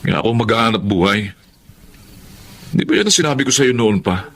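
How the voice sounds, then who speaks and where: A proud husband, swooping low voice. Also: male voice, lively intonation, fairly quick, fairly bright tone, slight background noise. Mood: defensive, irritated. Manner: defensive, placating delivery. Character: proud, stubborn husband and family breadwinner. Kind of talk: Filipino radio drama acting.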